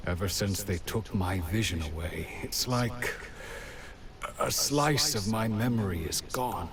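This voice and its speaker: Slow, hollow, weary older villager. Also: male voice, fairly low pitch, expressive, a bit bright, distant mic, slight background noise. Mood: hollow, resigned. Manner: halting, resigned, breathy trailing phrases. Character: weary, hollow older male village protector who lost purpose. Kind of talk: video game voice acting.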